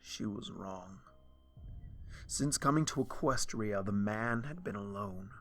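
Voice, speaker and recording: Man, story reader, slow, brooding, hushed, faint music. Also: on the low side. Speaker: fanfiction reader. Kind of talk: dramatic story reading. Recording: soft music underneath.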